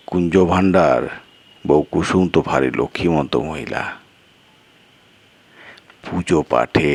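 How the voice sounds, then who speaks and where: A man voicing a crafty, meddling village man in an audio story, coaxing in drawn-out phrases and rhetorical questions. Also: extremely low pitch, no echo, heavily acted. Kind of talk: Bengali audio story character dialogue.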